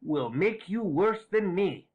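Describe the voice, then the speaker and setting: A woman's voice: lowish voice, lively intonation, slow, husky, dull audio, full character voice. A woman reading a storybook voicing a scheming old man who is frantic and wheedling. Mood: agitated. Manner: agitated, wheedling, intense.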